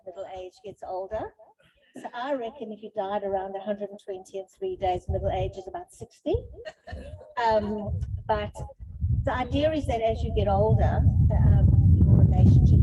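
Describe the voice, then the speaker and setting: Woman, middle-aged, guest speaker, informal explanatory talk, muffled lo-fi sound, clear background noise. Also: mid-range voice. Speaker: guest speaker on relationships and life stages. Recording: noisy, dull lo-fi sound with clicks.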